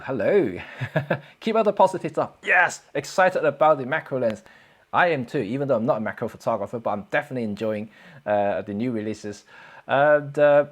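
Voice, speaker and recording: A middle-aged man chats loosely to his livestream viewers. His voice sits at an ordinary mid pitch, and he talks in a halting, filler-heavy way with restarts and easy chuckles. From Hong Kong. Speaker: photography livestream host. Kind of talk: casual livestream chat reading viewer comments.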